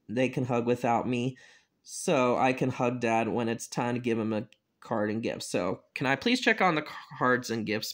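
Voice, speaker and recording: Someone doing a sneaky bratty kid, low and mumbly, slow talker. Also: fairly bright tone, natural voice. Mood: pleading. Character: scheming bratty young boy. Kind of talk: homemade family drama acting.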